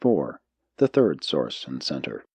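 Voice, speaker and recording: A man reading a spiritual text as an audiobook narrator, in measured, deliberate phrasing. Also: rumbling low, big pitch swings, gravelly, a little mellow, slightly rough, no echo.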